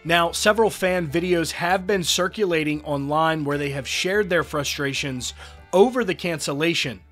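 Upbeat male news host, scripted voice-over, slightly high voice, soft music underneath. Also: normal speed. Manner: upbeat, reads quotes aloud. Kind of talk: scripted entertainment news narration.

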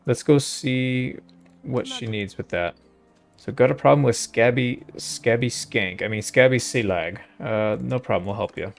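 A man's voice: mid-range voice, unhurried, vocal fry, faint music behind. A man giving casual gameplay commentary, thinking aloud in a laid-back way as he hosts a playthrough. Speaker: host of a gaming playthrough.

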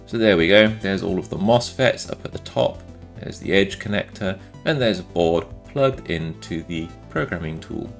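A man with a low, gravelly voice narrates an informal tech demo. He explains his own hardware in a matter-of-fact way, as a maker would. Faint music plays behind him. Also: lively intonation, normal speed.

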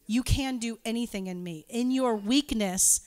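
A woman's voice: fairly high pitch, measured pace, a little mellow, clear, resonant tone, no room sound. A woman giving an informal sermon with personal anecdotes, talking in a conversational, self-deprecating and giggly way. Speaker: preacher speaking at a church gathering.

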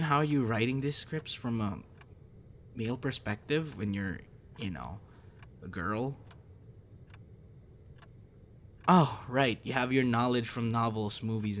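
A man playing a gentle, encouraging young voice actor in a soft, hesitant, reassuring ASMR boyfriend roleplay. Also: lowish voice. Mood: curious, impressed. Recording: noticeable background noise.

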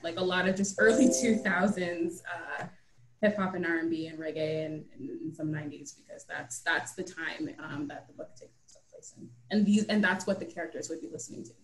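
A young adult woman, an author chatting casually as a guest on an online book talk. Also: fairly low pitch. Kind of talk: casual chat. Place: indoor room, on a video call. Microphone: computer mic on a video call. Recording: roomy, echoing video call.

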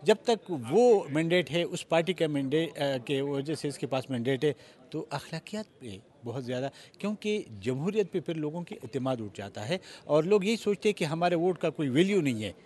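Slightly high-voiced, hesitant Pakistani man. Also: expressive, normal speed, darkish tone. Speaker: interviewed politician. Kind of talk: political interview. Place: outdoors, parliament forecourt. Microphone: handheld interview microphone.

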